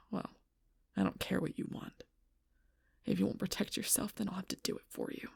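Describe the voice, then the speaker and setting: A woman playing a gruff, stubborn, protective female alpha werewolf in an intense audio roleplay, blunt and often hushed, with a husky voice. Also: lowish voice, no room sound, studio quality, heavily acted. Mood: hurt, resolute. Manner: intense, blunt, swearing, often dropping to whispers.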